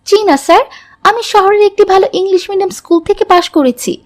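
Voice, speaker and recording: A woman's voice: very high-pitched, fast talker. A woman voicing an earnest, stubborn teenage college girl in a moral-tale cartoon, speaking in careful, formal sentences. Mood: polite, firm.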